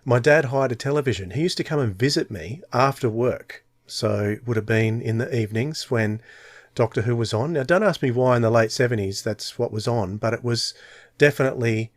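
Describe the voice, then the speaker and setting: A man's voice: medium pitch, brisk, dry recording. A man in his forties with an Australian accent, co-hosting a podcast in casual, chatty speech. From Tasmania, Australia. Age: 40s. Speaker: podcast co-host.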